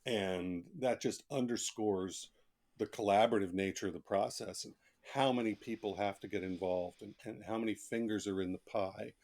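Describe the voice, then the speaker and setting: Low-voiced man telling anecdotes in an interview. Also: a little mellow. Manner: conversational, emphatic, colloquial. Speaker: author, interview guest. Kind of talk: casual podcast interview, anecdotal storytelling.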